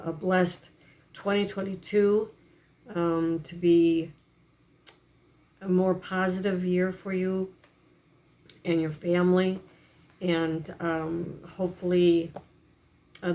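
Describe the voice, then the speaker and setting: Slow hesitant woman with a froggy voice. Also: fairly low pitch, dark tone. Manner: hesitant, many fillers. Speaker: religious teacher. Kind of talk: reading and explaining a religious text.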